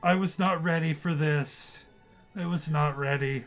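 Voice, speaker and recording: Exasperated young man, slow drawl. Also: fairly high pitch, fairly even intonation, fairly bright tone, faint music behind. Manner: exasperated, groaning reactions. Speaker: podcast co-host. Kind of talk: comedic reactions.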